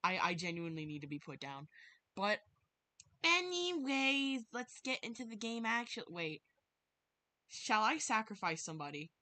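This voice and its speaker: A woman's voice: medium pitch, big pitch swings, normal speed, pushed and bright. A woman livestreaming a visual novel, chatting casually in a rambling, dramatic, easily spooked way and sometimes reading the story aloud. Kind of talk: casual game livestream commentary with some read-aloud narration.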